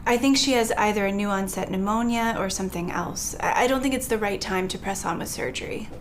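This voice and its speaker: A woman playing a calm, firm anesthesiologist who explains things tactfully in a training role-play. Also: medium pitch, brisk, a little hiss or hum, lightly acted. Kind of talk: role-played training dialogue.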